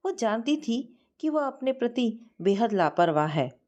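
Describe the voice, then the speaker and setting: A woman narrating a scripted story in an expressive, sentimental manner. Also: fairly high pitch, measured pace, lo-fi sound.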